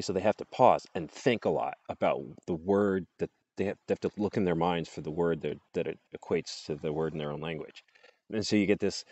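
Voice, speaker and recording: A man's voice: low voice, a little mellow, dry recording, highs cut off. A man in his forties chatting casually outdoors into an earbud mic, laughing often as he rambles. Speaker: vlogger. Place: outdoors under trees. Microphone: earbud headset mic, phone close up.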